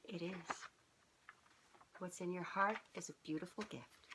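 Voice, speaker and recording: A woman's voice: lowish voice, a little slow, background noise. A middle-aged woman reading a children's storybook aloud, speaking expressively and directly to young listeners. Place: small furnished living room, indoors.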